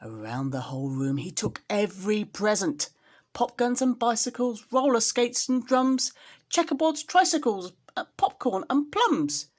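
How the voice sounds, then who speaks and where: A woman storyteller reading a children's storybook expressively, with dramatic emphasis on repeated words. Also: a little high.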